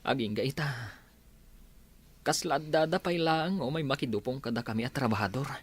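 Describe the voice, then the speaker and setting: A woman's voice: very deep voice, moderate pace, slight background noise. A woman with an Ilocano accent acting a concerned, supportive companion in a village radio drama. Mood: consoling. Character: Marson, concerned supportive companion of grieving Margaret.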